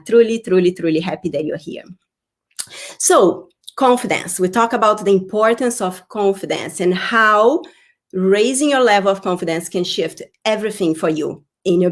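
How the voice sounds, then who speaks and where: A woman from Brazil speaks English with a Brazilian Portuguese accent, her voice sitting in a middle register. She hosts a live online workshop in an effusive manner and repeats words like "beautiful" and "welcome". She sounds middle-aged. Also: medium pitch. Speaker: workshop host. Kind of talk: live-stream workshop talk.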